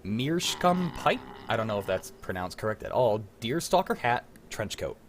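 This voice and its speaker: A man's voice: lowish voice, sing-song, moderate pace. A man hosting a casual quiz in a joking, crude manner. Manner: crude, jokey. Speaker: quiz host.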